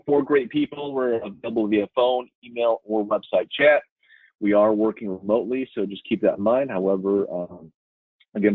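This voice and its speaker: A man's voice: low voice, husky, no echo. A man presenting products to dealers in an informal webinar, explaining like a salesman and often checking in with short tags. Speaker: webinar presenter for a speaker brand. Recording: muffled, phone-like webinar audio.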